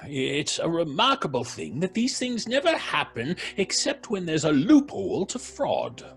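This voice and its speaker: An actor playing a scornful, pompous sceptic at a séance in an old-style radio drama, stammering drily. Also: male voice, a little high, big pitch swings, a little slow, vocal fry, a bit bright, faint music behind, full character voice. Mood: dry, sarcastic. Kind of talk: old British radio horror drama acting.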